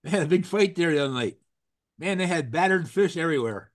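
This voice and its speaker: A man's voice: fairly high pitch, animated, quick pace. A man with a froggy voice from a cold hosts a casual show over a video call. He rambles, restarts his sentences and drops corny puns. The sound is close and dry, with no room echo. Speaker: host. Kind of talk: casual chat with jokes.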